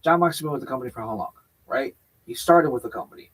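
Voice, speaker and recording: A man's voice: mid-range voice, fast talker, fairly bright tone, a little hiss or hum. A man co-hosting a casual wrestling chat show, talking in a loose, rambling way and checking in with "right?". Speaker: podcast co-host.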